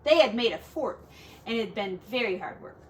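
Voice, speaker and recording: A woman teacher reading a children's story aloud in an expressive storytelling style. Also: a little high, a little fast.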